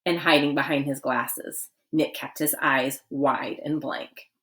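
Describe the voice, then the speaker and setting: A woman reading a children's story aloud expressively, with dramatic pauses and emphasis. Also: rumbling low, steady tone, a bit bright.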